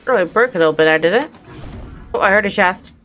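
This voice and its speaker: Young gamer girl, mid pitch, goofy self-deprecating chat, game music underneath. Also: lively intonation, fast talker, fairly bright tone. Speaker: gaming YouTuber. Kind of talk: casual playthrough commentary.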